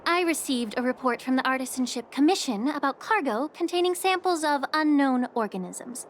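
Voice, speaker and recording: An actress voicing a calm, sly, long-lived woman alchemist official who teases dryly and unhurriedly. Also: high-pitched, a little hiss or hum. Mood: composed. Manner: dry, teasing and unhurried. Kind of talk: game voice acting.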